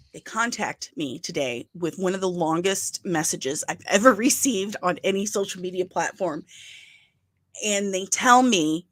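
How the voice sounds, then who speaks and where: Casual stream host, middle-aged woman, nasal, talks with emphasis and quick asides, close dry mic. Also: medium pitch, a bit bright, dry recording. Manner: chatty, emphatic, with asides and laughs. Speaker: pop culture news host. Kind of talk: casual livestream chat.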